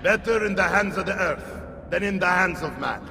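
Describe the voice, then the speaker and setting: An actor playing an older warrior uncle in a video game, commanding and protective, with urgent, formal delivery. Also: male voice, high voice, animated, fairly quick, background noise. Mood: grave, resolute. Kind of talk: dramatic video game acting. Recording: long reverb under loud game music.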